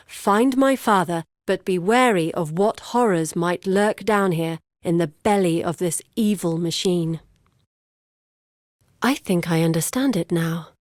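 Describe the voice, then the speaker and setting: A woman acts out a determined scholarly adventurer from a fantasy video game. She is a grave, devoted daughter with a mid-pitched voice and formal, deliberate phrasing. The sound is close and dry. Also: darkish tone, dry recording, lightly acted. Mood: cautious, instructing. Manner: earnest, deliberate, formal diction. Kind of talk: scripted video game character dialogue.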